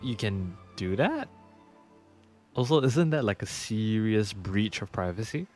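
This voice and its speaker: Streamer reacting casually to a game, medium-pitched voice, some music behind. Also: lively intonation, measured pace. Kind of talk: casual gameplay reaction commentary.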